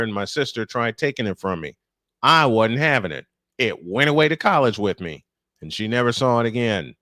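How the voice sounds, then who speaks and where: An American man with a mid-range voice works as a baseball announcer. He moves between pitch calls and casual, folksy storytelling, often repeating phrases. The sound is close and dry. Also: fairly bright tone, no room sound. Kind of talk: play-by-play commentary mixed with casual chat.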